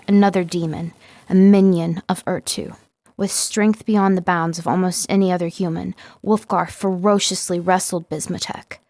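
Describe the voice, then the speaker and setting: A woman reading scripted story narration as an audiobook narrator, with expressive, dramatic storytelling. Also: on the low side, no echo. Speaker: audiobook narrator, voice-over artist.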